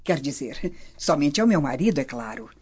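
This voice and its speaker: A woman's voice: deep voice, sing-song, normal speed, darkish tone, husky, heavily acted. An actress playing a haughty, scheming Roman noblewoman in a radio drama, imperious and formal. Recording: dull lo-fi audio.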